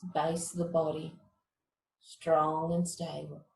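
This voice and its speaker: A woman teaching meditation, giving guided relaxation instructions in a calm, measured, soothing manner. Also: low voice, creaky, echoey room, slight background noise, rough recording.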